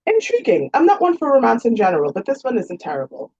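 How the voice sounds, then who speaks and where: A wry young society lady , as a woman narrator reads her, formal and teasing. The voice is husky and mellow in a storybook romance, slightly lo-fi. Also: on the high side, huge pitch swings, fast talker, voice pushed up, full character voice. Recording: roomy, dull lo-fi sound.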